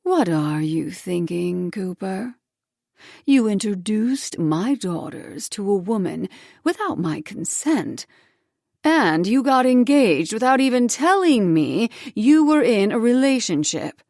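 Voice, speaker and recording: A woman's voice: on the high side, very animated, slow pace, vocal fry, a little mellow, no echo, clean studio sound, voice pushed up, exaggerated voice. A woman voicing a cold, condescending ex-wife with a clipped, icy way of speaking, in an audiobook.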